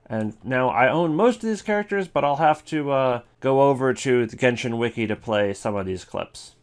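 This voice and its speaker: A man's voice: medium pitch, lively intonation, moderate pace, clear, resonant tone. Offhand man doing casual gaming commentary. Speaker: video host.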